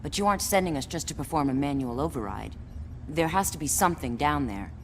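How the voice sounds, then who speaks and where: A woman's voice: low voice, lively intonation, fast talker, a little hiss or hum. An actress playing a cool, tough female soldier in a video game, terse and matter-of-fact. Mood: wary. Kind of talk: game cutscene acting.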